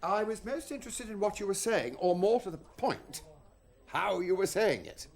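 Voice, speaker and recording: A man's voice: high-pitched, animated, normal speed, vocal fry, a little mellow, heavily acted. An actor playing a polite, male broadcasting executive with a clipped, genteel manner. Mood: courteous. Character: plummy upper-class male broadcasting executive.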